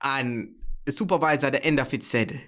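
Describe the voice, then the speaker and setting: A man with a strong Jamaican accent, talking as a guest attorney in casual conversation and telling stories with animated energy. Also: fairly quick. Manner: animated, acts out quotes with big pitch jumps.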